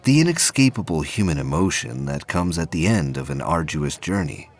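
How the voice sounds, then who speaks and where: A man reading scripted narration for a science fiction audio play, with a husky voice. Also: a bass voice, very animated, moderate pace.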